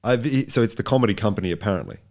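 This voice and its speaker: A man with a low voice co-hosts a film podcast. He talks in casual banter, rambling and jokey, often repeating a phrase to push his point. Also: expressive, racing, darkish tone. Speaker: podcast co-host.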